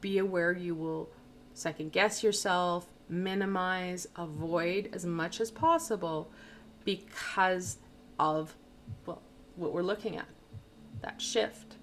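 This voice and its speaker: A woman's voice: on the low side, fairly even intonation, takes it slowly, fairly bright tone, slight background noise. A woman giving a casual livestream tarot reading, rambling and stumbling over words and poking fun at her own slips, into a plug-in microphone. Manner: rambling, stumbling, self-deprecating. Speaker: tarot reader. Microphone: plug-in studio microphone.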